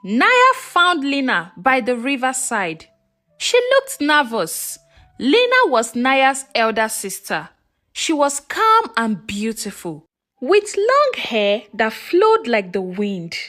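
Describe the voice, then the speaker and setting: A woman telling a scripted folktale as a storyteller, in a measured, expressive and dramatic way. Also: high voice. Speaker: storyteller narrator.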